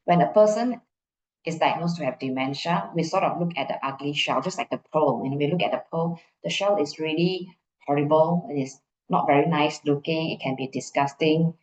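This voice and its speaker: A woman's voice: deep voice, moderate pace, darkish tone. A middle-aged woman with a Malaysian accent giving an informal explanatory talk, repeating phrases for emphasis and checking in with her listeners. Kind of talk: conference presentation, informal and explanatory. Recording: muffled, echoey room.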